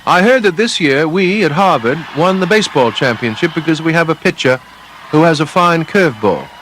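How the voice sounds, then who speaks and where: A man reading a scripted historical quotation in a formal, measured way. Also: a little high, fairly bright tone, a little hiss or hum, poor audio.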